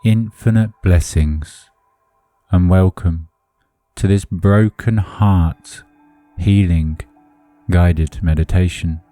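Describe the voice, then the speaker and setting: A man narrating a hypnotic guided meditation in a soothing, deliberate manner with measured phrasing. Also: low-pitched, dark tone, dry recording. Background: music under the voice.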